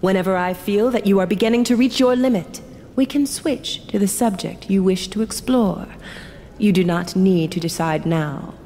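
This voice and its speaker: A woman's voice: medium pitch, normal speed, mellow, a little hiss or hum, lightly acted. An actress playing a kindly, scholarly lady mentor in a fantasy audio drama, speaking formally and graciously. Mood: approving, measured. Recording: long hall reverb, music behind.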